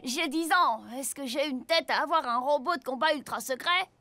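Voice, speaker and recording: Woman as a very high-voiced earnest little boy. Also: normal speed, close to an everyday voice. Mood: matter-of-fact. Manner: earnest, sensible, reproving. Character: earnest, sensible ten-year-old boy. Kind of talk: French cartoon dubbing. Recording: music bed under the voice.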